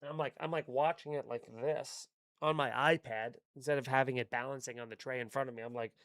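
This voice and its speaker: A man's voice: mid-range voice, fairly even intonation, fairly quick, a bit bright, dry recording, studio quality. A young man hosting a live stream, chatting casually, rambling and swearing with wry asides. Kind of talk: casual live-stream chat reading chat comments.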